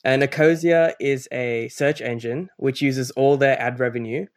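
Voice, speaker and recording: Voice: medium pitch, fairly even intonation, measured pace. Enthusiastic podcast co-host. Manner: enthusiastic, conversational, with fillers like "you know". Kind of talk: casual podcast chat.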